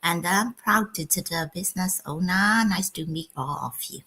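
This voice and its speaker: Woman guest, Burmese first language, bright and crisp, careful. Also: fairly low pitch, normal speed. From Myanmar. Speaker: guest panelist.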